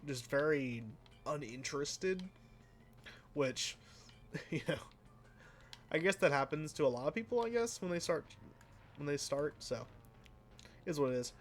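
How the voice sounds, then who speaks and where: A man casually commenting while he plays a racing game, rambling and self-deprecating, with a flat, slightly nasal voice that trails off into mumbles. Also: fairly high pitch, unhurried, long pauses. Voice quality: sounds flat and a bit nasal, by his own account. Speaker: let's play host.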